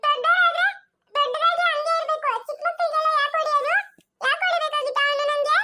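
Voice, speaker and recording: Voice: extremely high pitch, little pitch movement, fast talker, background noise. A performer voicing a whiny little girl who tattles and begs her father to hit someone, tearful and complaining.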